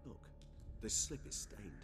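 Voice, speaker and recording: An actor playing a refined, erudite gentleman in a video game, speaking in a formal, measured and precise way. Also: over music, natural voice. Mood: thoughtful. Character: refined, calm, erudite male gentleman and loyal friend. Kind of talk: video game cutscene acting.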